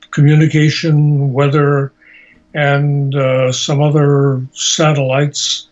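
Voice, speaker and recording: Halting, slow-spoken man of eighty. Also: fairly high pitch, a little mellow. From Slovakia and Hungary, later United States. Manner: halting, deliberate, measured, searching for words. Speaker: interviewee, Holocaust survivor. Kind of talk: personal life story told in an interview. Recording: dull, lo-fi amateur recording.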